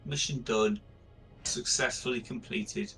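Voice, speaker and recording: A man's voice: mid-range voice, measured pace, a bit bright, soft background music, poor audio. Man playing a tabletop game, mid-range voice. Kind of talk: casual in-game chat.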